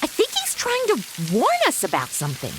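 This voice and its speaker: High-voiced, sarcastic American sidekick. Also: female voice, huge pitch swings, fairly quick, a bit bright, exaggerated voice. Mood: whiny, mocking. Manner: sarcastic, slangy, whiny. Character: brash, sarcastic, complaining modern American woman sidekick. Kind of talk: comedy audio drama acting. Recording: noisy, rain-like hiss behind.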